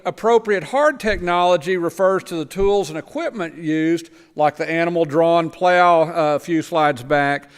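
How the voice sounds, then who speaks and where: Man with a high, resonant voice. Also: smooth. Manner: plain explanatory, trips over words. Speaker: missionary agriculture lecturer. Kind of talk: church presentation with slides. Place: church sanctuary. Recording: long church reverb.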